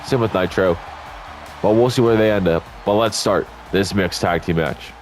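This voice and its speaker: A deep-voiced man talks through a wrestling video game match in a loose, rambling way, correcting himself as he goes. He sounds congested and tired, as if he has just woken up. Faint game music plays underneath. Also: normal speed. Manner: chatty, rambling asides, self-corrections. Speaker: YouTube gaming commentator. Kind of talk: casual play-by-play commentary over a wrestling video game.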